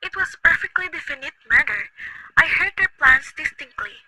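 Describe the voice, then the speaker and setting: A woman acts a fretful bedridden wife in a radio play, high-voiced and imperious. Her lines pour out anxious and demanding. A loud hiss runs under the whole recording. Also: normal speed. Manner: shrill, agitated, imperious. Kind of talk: amateur radio play acting.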